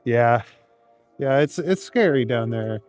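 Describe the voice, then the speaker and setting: A man's voice: medium pitch, expressive, takes it slowly, a little mellow, faint music behind. Easygoing man streaming outdoors. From Canada. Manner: easygoing, chuckling, rambling. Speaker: live streamer. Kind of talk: casual live stream, reading chat aloud. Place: outdoors on city streets in the cold.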